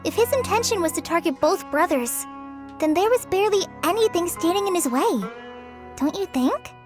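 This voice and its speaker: An earnest, soft-hearted young girl companion from a game, her voice very high and piping. She speaks hesitantly, with sighs and small stumbles. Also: animated, normal speed, over music. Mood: thoughtful.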